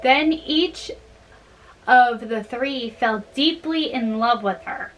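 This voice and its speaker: A woman reading storybook tales aloud in a halting, deliberate way, with casual wry asides. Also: a little high, fairly bright tone, soft background music, poor audio. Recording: noticeable background noise.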